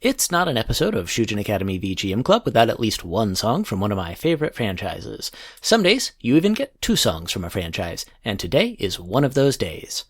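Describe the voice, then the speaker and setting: Husky, low-pitched male podcast host. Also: wildly swooping pitch, brisk, hardly any pauses. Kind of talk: scripted music show narration.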